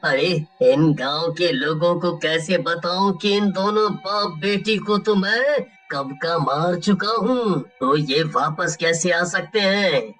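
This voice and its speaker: An actor playing a scheming, greedy village merchant, a boastful villain in a horror story. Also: male voice, high-pitched, slow pace, hardly any pauses, a bit bright, recorded from a distance, faint music behind, heavily acted. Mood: alarmed puzzlement.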